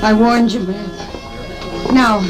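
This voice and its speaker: Frank, worldly frontier woman, highish voice. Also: steady tone, drawn-out words. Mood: anxious pleading. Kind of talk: 1950s radio western acting. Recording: old-time radio recording, music behind.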